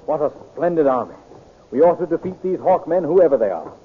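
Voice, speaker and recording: An actor playing a heroic, courtly young commander in a declamatory, formal 1930s radio serial style. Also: male voice, fairly high pitch, sing-song, fairly quick. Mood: awed. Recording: tinny, noisy old radio recording.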